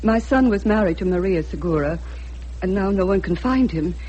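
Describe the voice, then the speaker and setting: A woman acting as a middle-aged mother in a radio play, over faint hum and noise. She pleads anxiously, halting and stammering with fear, in an urgent, mid-pitched voice. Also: expressive, lo-fi sound, natural voice. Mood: anxious, pleading. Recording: noisy radio drama recording with hum.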